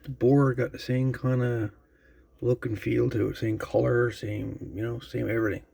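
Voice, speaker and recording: A man giving a casual, unscripted show-and-tell as a video host, musing haltingly and trailing off as he goes. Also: medium pitch, little pitch movement, vocal fry, dark tone, breathy edge. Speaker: host of a radio repair channel.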